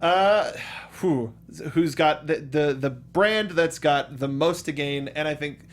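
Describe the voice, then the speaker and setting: A man hosting a casual panel chat about games, talking excitedly and haltingly, often repeating his words. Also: a little high. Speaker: panel show host.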